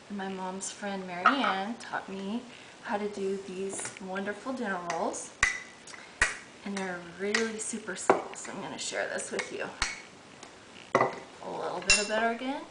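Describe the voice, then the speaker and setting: A middle-aged woman talks her viewers through baking in a home kitchen, chatty and upbeat as she works with her hands. Her voice sits in the middle range and bounces off hard kitchen surfaces. Dishes and pans clatter clearly behind her. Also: medium pitch, reverberant, background noise. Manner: chatty, upbeat, talks while working dough. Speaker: cooking show host. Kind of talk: casual cooking demonstration.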